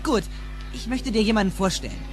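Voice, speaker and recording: A man's voice: high voice, expressive, brisk. An actor playing an earnest, impulsive teenage boy with a slangy, incredulous manner, in a dubbed film. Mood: eager. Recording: music behind the voice.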